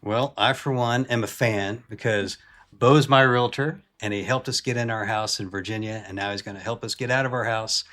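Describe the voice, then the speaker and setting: A man's voice: fairly low pitch, steady tone, normal speed, a bit bright. A man hosting a podcast, chatting casually with his guest in an interview. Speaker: podcast host. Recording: roomy echo with faint noise.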